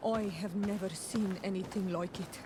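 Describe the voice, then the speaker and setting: Earnest, mid-pitched native companion played by a woman. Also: fairly even intonation, moderate pace, noisy background, lightly acted. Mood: earnest, awed.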